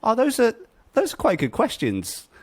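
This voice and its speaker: A man's voice: extremely high pitch, wildly swooping pitch, moderate pace, darkish tone, slightly rough, no room sound. A man hosting an interview podcast, asking questions in a chatty, meandering way. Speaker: podcast host. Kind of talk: casual interview chat.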